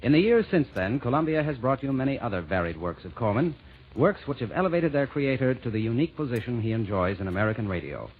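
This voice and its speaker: Old-time radio announcer, animated man, dull recording. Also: mid-range voice, fast, slight background noise. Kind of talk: formal 1940s radio announcing, scripted.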